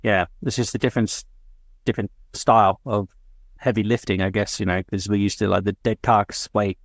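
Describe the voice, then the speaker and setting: A man's voice: lowish voice, flat delivery, a little fast, dry recording. A man co-hosting a podcast, chatting casually and rambling with lots of fillers. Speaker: podcast co-host. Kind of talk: casual chat.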